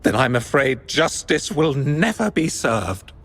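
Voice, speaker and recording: Brief: a pompous, indignant game art dealer, slow and very animated delivery, soft music under it. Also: male voice, medium pitch, vocal fry, heavily acted. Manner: indignant, formal, declamatory. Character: pompous male art exchange head defending his business. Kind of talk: video game voice acting. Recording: game audio with faint background music. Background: faint music.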